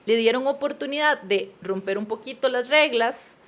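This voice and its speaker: Costa Rican woman in her thirties, slightly high voice, earnest guest storyteller. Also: moderate pace. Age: 30s. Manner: earnest, animated, addresses listener as usted. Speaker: podcast guest. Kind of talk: personal storytelling in conversation.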